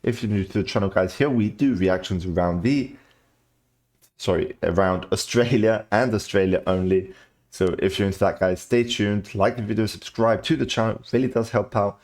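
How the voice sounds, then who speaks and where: A man's voice: lowish voice, moderate pace, darkish tone. A man with a mixed British accent, half British and half Cypriot, chatting casually while reacting to videos. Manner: loose, chatty, says "bro" a lot. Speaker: reaction channel host.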